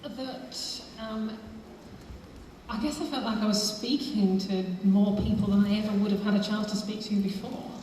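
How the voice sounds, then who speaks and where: Woman, audience member, hesitant, mellow, far from mic, echoey hall. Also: mid-range voice, steady tone, normal speed, slight background noise. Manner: soft, hesitant and grateful. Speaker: audience member asking a question.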